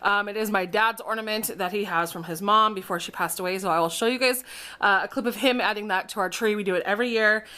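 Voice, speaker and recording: A young woman in her twenties chatting casually on a home vlog, her voice slightly raspy from dust, with a chatty, upbeat manner. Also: medium pitch, quick pace, pushed and bright. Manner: chatty, upbeat, filler-heavy. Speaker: vlogger. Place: home, indoors. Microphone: camera propped up nearby.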